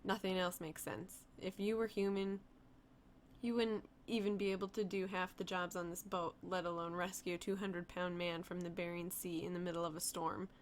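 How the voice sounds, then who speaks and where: A woman's voice: on the low side, flat delivery, normal speed, a little hiss or hum, lightly acted. A woman voicing a grateful young male fisherman who speaks earnestly.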